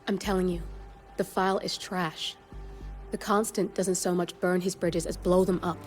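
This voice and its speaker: A woman's voice: fairly low pitch, little pitch movement, normal speed, music in the background, natural voice. An actress playing a sardonic young hacker who briefs her partner in a blunt, wry, matter-of-fact way. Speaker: hacker and handler. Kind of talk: video game cutscene acting. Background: game score.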